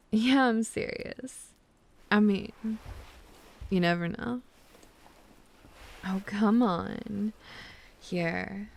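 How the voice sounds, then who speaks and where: A woman speaking softly and soothingly in an intimate, gently teasing ASMR bedtime roleplay, close to the microphone. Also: mid-range voice, gravelly, slight background noise. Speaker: ASMR roleplay performer playing a girlfriend. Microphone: close microphone, dry sound.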